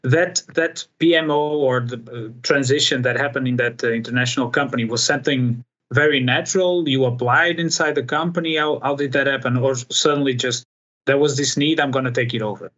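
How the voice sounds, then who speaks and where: A man's voice: mid-range voice, brisk, a bit bright, lo-fi sound. A man hosting a podcast, interviewing a guest in a conversational way. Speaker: podcast host.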